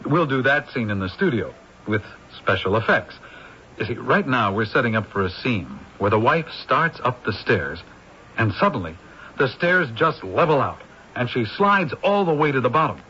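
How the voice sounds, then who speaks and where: An affable movie crew member. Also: male voice, mid-range voice, sing-song, normal speed, bright, forceful tone. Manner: affable, explanatory. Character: male film crew member, friendly explainer. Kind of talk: 1970s radio drama acting. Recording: dull old radio recording, faint hiss.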